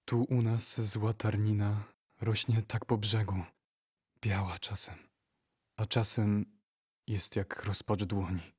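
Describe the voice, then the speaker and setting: Male reciter for audio drama: husky, very unhurried, solemn and measured. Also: on the low side, little pitch movement, darkish tone. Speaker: poetry reciter.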